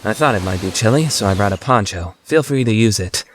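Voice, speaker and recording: A man voicing a low-key, considerate teenage boy in an anime fandub radio play. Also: animated, fairly quick, slight background noise, lightly acted.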